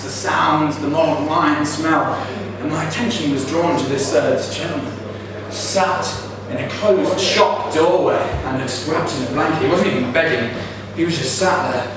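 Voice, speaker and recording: Deadpan young man doing stand-up in a pub. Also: fairly high pitch. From South East England. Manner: deadpan delivery, laughs at own jokes. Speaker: stand-up comedian. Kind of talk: stand-up comedy set. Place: pub comedy night. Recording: roomy pub sound from far off. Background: audience laughter.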